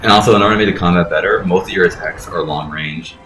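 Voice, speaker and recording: A man's voice: low-pitched, fast talker. A man giving casual game commentary, explaining things in a rambling way with frequent swearing. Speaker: let's play host explaining the game. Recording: roomy echo, faint game music behind.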